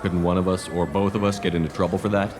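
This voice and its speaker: Male actor as stoic military hero, very deep voice, quick delivery, terse, direct, sci-fi game dialogue. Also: flat delivery, background noise, close to an everyday voice. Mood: calm, dry. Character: stoic, determined male space commander hero.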